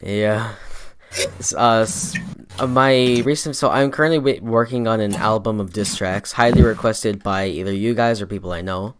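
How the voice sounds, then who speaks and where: A man's voice: on the low side, moderate pace, background noise. A young man co-hosting a podcast in casual chat, rambling with lots of fillers and restarts, often laughing. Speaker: podcast co-host and rapper.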